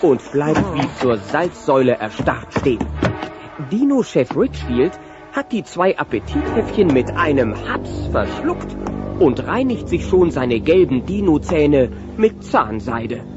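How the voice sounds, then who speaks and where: A man narrating a scripted children's audio story in an expressive storytelling style. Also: on the high side, measured pace. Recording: dull lo-fi cassette transfer. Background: music.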